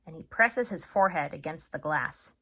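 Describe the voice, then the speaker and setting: A woman speaking as an elementary school teacher in a video lesson for children, chatty and expressive as she talks and reads aloud. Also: sits low, moderate pace, husky. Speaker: third grade teacher.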